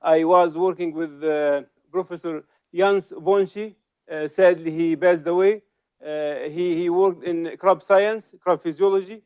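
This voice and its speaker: A middle-aged man from Syria, giving an academic seminar lecture in a halting way with many hesitations and restarts. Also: on the high side, steady tone, clean tone. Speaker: research associate giving a seminar. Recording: slightly dull lo-fi recording.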